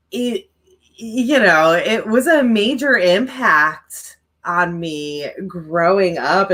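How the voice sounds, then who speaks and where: Bright-voiced woman with a mild stutter. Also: medium pitch. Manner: rambling, with many fillers. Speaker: writing livestream co-host and author. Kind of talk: casual chat to viewers.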